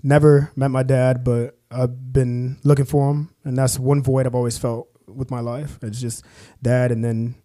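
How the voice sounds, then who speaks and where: Young man, from Kansas, casual interview guest, halting speech, many fillers, relaxed dark tone. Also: medium pitch. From Kansas, USA.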